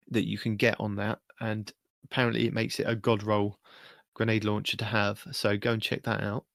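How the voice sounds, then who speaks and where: Male gaming podcast co-host, average pitch, casual and explanatory, recorded dry without room sound. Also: no echo. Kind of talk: casual gaming podcast chat, running through video guides.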